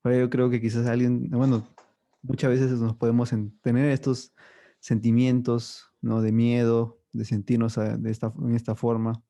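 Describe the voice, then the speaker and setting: Host, male, mid-pitch mellow voice, easygoing and halting delivery. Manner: relaxed, hesitant, many fillers like "bueno" and "¿no?". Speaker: podcast host. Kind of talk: casual chat.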